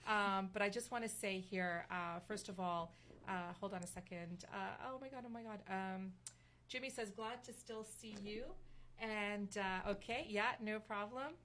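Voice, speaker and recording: A woman's voice: mid-range voice, fairly even intonation, measured pace, a bit bright. Effusive woman hosting, some background noise. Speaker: talk show host. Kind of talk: casual live talk show hosting, reading viewer comments. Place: radio studio.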